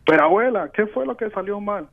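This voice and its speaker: A man's voice: high-pitched, animated, creaky. Man calling in on a dull phone line. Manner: laid-back joke telling, halting restarts. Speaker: caller telling a joke.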